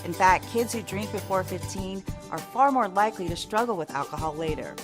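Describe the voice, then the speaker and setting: Music plays clearly behind a woman announcer. She reads a scripted public service message at a middle pitch, in a polished, instructive manner. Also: brisk. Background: music bed.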